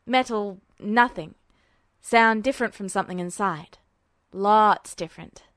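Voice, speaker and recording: A woman's voice: medium pitch, unhurried, a bit bright, no echo, pitched below the performer's own voice, close to an everyday voice. A woman voicing a cocky, sarcastic boy thief who talks in clipped, broken phrases. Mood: sarcastic, smug.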